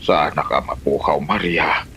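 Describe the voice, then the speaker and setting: A man's voice: a bass voice, fairly even intonation, a little slow, pushed and bright, heavily acted. A man acting as a feeble, doting old sugar daddy on his deathbed in an Ilocano comedy drama, with an Ilocano Filipino accent. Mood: weak, doting. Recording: faint noise behind the voice.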